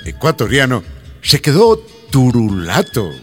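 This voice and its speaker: A man narrating a radio drama from a script in a theatrical, drawn-out delivery. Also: medium pitch, big pitch swings, loud music behind the voice.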